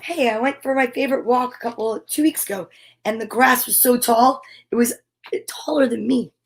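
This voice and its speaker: A woman hosting an animated children's story read-aloud, with sing-song repetition, dramatic exclamations and casual asides. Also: on the high side, normal speed, a little hiss or hum. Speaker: host reading picture books aloud.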